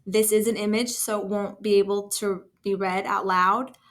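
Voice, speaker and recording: A woman talking through a casual screen-share software tutorial as a trainer, in an informal, enthusiastic and encouraging way. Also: medium pitch, little pitch movement, normal speed. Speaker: school district technology trainer.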